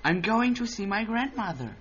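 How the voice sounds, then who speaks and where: A man's voice: high voice, sing-song, normal speed, fairly bright tone, husky, pitched above the performer's own voice, full character voice. A man voicing an innocent little girl with a meek, wondering manner, in a dull lo-fi recording with music behind.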